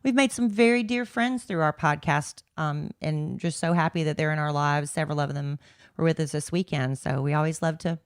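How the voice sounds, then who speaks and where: A woman's voice: low voice, brisk, smooth. A middle-aged woman, co-hosting a podcast in a chatty, rambling way. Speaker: podcast co-host. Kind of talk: casual chat.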